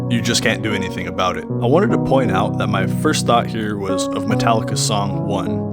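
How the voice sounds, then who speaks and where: A man giving casual, explanatory horror commentary as a voice-over. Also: lowish voice. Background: music.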